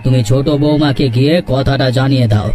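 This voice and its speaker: A man's voice: mid-range voice, fairly even intonation, a little fast, warm tone, soft background music, natural voice. A man voicing a stern, unbending elder brother who rules his household in a cartoon, with a commanding, severe manner. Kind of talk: Bengali cartoon voice acting.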